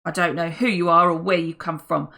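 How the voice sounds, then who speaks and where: A woman reading a menacing armed male criminal in an audiobook, loud and threatening. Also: lowish voice, fairly even intonation, a little fast, gravelly.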